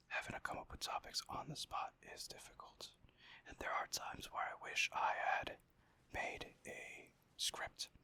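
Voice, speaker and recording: A man's voice: takes it slowly, pauses often, pushed and bright. A young man whispering close to the mic in a rambling, casual ASMR chat over gameplay. He mocks himself and swears now and then. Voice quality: breathy whisper. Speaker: ASMR gaming creator.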